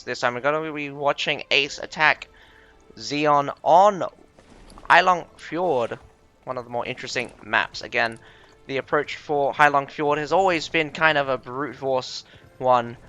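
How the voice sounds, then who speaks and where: A young man casts a live strategy game with a bright, crisp, smooth voice. His talk is chatty and self-deprecating. The sound is dry and a little dull, with faint music underneath. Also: mid-range voice. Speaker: game caster and streamer. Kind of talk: live game commentary with chat banter.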